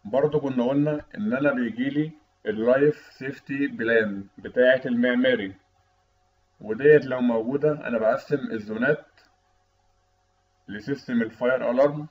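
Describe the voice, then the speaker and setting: A man's voice: medium pitch, brisk. A man with an Egyptian Arabic accent giving an explanatory technical lecture, teaching didactically as an instructor. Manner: didactic, asks and answers own questions. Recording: dull, lo-fi voice-over recording.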